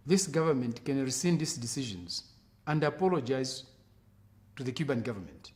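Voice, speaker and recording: A man making a political statement in a deliberate, emphatic, oratorical way. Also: a little high, darkish tone.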